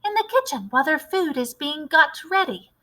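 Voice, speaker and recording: A woman voicing an eager, brave little boy who has been turned into a mouse, earnest and excitable, for a storybook. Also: high voice, normal speed, voice pushed up. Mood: thoughtful.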